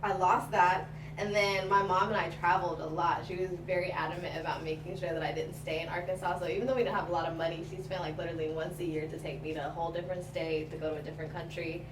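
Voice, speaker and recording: A woman's voice: fairly low pitch, fairly even intonation, quick pace. Young female guest from Kenya, chatty, echoey room, background noise. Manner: upbeat, chatty storyteller. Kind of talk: casual interview chat. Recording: echoey room, mics at a distance.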